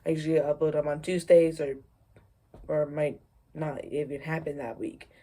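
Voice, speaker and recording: A woman talks casually to her viewers in a low voice with a dark, mellow tone. She rambles and halts, often doubling back over the same phrase as she introduces her video. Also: fairly even intonation. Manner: halting, rambling, repeats phrases. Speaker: video creator introducing a premiere.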